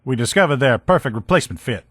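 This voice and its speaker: A man voicing a gruff, loyal military lieutenant in a video game, with blunt, profane, folksy soldier talk. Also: mid-range voice, expressive, very fast, a bit bright, dry recording, clean studio sound. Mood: conversational. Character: gruff, loyal military lieutenant in power armor, protective comrade. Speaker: voice actor in a game mod. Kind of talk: video game character dialogue lines.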